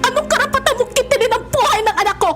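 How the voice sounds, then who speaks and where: Vengeful grieving mother, shrill, very high pitch, melodramatic radio drama, loud music. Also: rapid-fire. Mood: furious, accusing. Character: enraged grieving mother hurling accusations. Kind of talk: melodramatic audio drama acting.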